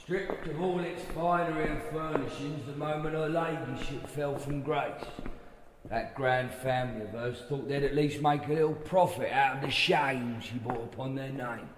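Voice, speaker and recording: Gruff, sardonic castle jailer, played by a man. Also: medium pitch, fairly even intonation, moderate pace, a little hiss or hum, lightly acted, rough recording. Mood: bitter, wry. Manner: wry, mocking, dark-humoured. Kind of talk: audio horror play acting.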